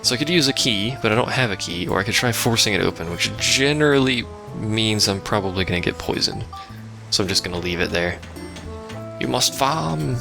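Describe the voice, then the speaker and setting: A man with a lowish, very creaky voice talks casually through a video game. He rambles along with dry, sarcastic asides while game music plays clearly behind him. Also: expressive, brisk. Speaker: let's play host. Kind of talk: casual gameplay commentary. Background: game music and sounds.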